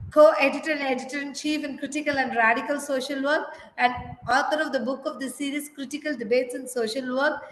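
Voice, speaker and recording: High-pitched woman moderating, super formal and polite, big echoey hall. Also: a bit bright, slight background noise. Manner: formal and deferential. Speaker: conference moderator. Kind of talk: formal speaker introduction. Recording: echoey hall with long reverb.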